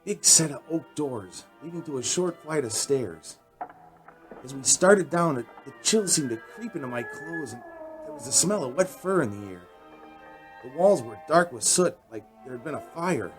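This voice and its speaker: A man acts out a nervous, earnest young man in a radio play, recounting something frightening. His voice sits fairly high and swings expressively, with music playing underneath. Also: lively intonation, normal speed, natural voice, rough recording. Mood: tense recounting. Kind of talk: radio drama acting and narration.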